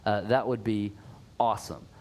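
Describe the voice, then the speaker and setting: A man's voice: on the low side, fairly even intonation, moderate pace, a little mellow. A man co-hosting a casual podcast, chatty and upbeat, with frequent "uh" fillers and restarts. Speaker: podcast co-host.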